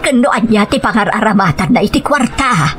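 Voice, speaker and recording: A woman playing a gentle older mother who placates her grown children softly in an audio drama. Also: medium pitch, animated, fairly quick, soft background music, natural voice. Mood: soothing, concerned. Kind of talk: melodramatic audio drama acting.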